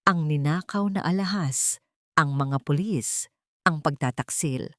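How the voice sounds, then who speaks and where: A woman giving slow, solemn, scripted narration for an audio drama. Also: deep voice, dry recording, clean studio sound.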